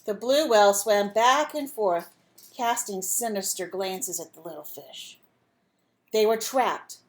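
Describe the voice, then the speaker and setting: A woman reading a storybook aloud as a teacher would, pausing to put simple questions and instructions to young listeners. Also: medium pitch. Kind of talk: storybook read-aloud with asides to children.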